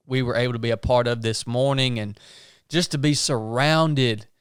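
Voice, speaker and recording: A man's voice: medium pitch, steady tone, normal speed, clear, resonant tone, no room sound, clean studio sound. A man hosting a podcast, talking in an earnest, folksy, casual way and often tagging his sentences with "man". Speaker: podcast host. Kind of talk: casual interview chat.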